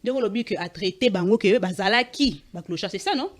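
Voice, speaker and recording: A woman's voice: medium pitch, lively intonation. A woman moderating a TV debate, cutting in again and again to take back the floor. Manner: quick, cuts in repeatedly to regain the floor.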